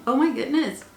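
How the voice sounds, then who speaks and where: A woman giving a tarot reading to her viewers while losing her voice, rambling and repeating herself with many fillers. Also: sits high. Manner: rambling, repeats words with many ums and uhs. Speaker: tarot reader. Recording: echoey room with faint noise.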